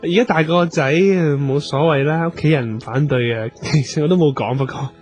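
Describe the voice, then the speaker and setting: Male actor as boyish besotted gentleman, animated and naive, Hong Kong Cantonese, radio comedy over faint music. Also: on the high side, normal speed, close to an everyday voice. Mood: earnest, rambling. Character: excitable boyish gentleman besotted with a bossy magic girl. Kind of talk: radio comedy drama acting.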